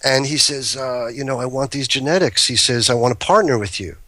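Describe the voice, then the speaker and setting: A man's voice: medium pitch, a little fast, pushed and bright, no room sound. A man guesting on a talk show, telling long, rambling anecdotes and often breaking off mid-sentence. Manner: rambling, self-interrupting anecdotes. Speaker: guest, cannabis breeder. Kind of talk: casual storytelling chat.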